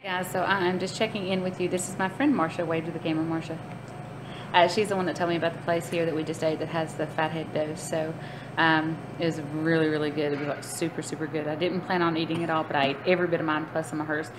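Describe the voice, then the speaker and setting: A woman's voice: sits low, little pitch movement, fast. A woman, chatting casually to viewers like a vlogger, recorded on a phone. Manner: chatty, informal, addresses viewers as guys. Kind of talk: casual vlog chat. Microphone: phone at arm's length. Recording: noisy amateur phone video.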